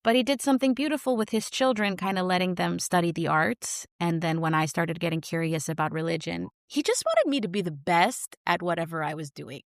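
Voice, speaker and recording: A woman's voice: lowish voice, animated, brisk, hardly any pauses, no echo, studio quality. A woman, speaking as a podcast guest in a reflective, searching way. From New York. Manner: reflective, searching for words with many likes and you knows. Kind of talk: casual podcast interview.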